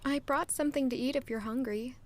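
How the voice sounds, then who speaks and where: A woman in an audio drama playing a caring young maid, speaking gently and earnestly to reassure. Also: high-pitched, a little fast, lightly acted.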